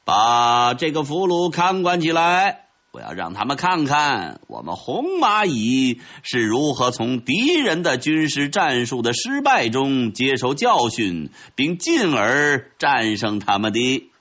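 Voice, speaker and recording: A man's voice: medium pitch, big pitch swings, slow pace, a bit bright, lo-fi sound, pitched above the performer's own voice, full character voice. A man voicing a boastful red ant army commander in a children's storybook, haughty and declamatory as he gives military orders. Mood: stern, commanding.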